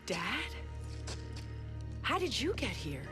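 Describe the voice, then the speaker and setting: A bold, guarded young woman soldier in a video game. Her voice is highish with wide swings, blunt and informal, under loud music. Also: huge pitch swings, slow, full character voice. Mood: surprised. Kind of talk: video game cutscene acting.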